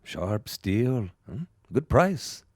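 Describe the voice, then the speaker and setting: A shrewd peddler in a radio play, terse in his sales patter. His voice is rough and breathy, rising and falling freely in a dry space. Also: medium pitch, very animated, very slow, darkish tone, dry recording, full character voice. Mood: sly, selling. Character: shrewd street peddler hawking secondhand goods from a cart. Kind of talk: audio drama acting.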